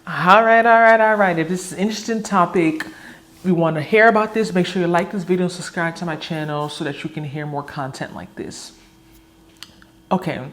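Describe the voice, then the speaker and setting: A woman's voice: on the low side, brisk. A woman in her early thirties talking frankly to camera in a casual personal vlog, reflective and candid. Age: 30s. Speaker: vlogger.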